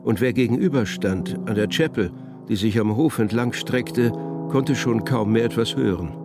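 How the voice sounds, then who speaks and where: A man narrating a literary radio drama with measured, deliberate phrasing. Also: mid-range voice, over music.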